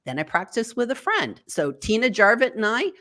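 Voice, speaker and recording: Lively woman coaching on camera confidence. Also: moderate pace. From United States. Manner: animated, jumps high for quoted thoughts. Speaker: guest, video coach. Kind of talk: online interview. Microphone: video call.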